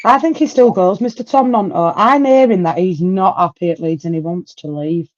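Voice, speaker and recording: A woman's voice: on the low side, moderate pace, highs cut off. A woman with a Yorkshire accent, hosting a casual football chat stream in a blunt, chatty way. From Yorkshire, England. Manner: blunt, chatty, local dialect. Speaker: host.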